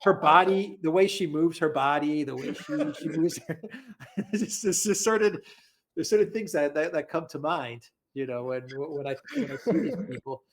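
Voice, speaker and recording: A man's voice: on the high side, lively intonation, moderate pace. A man talking as a guest on a live stream, rambling, repeating words and laughing often. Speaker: guest commentator. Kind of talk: casual live-stream chat.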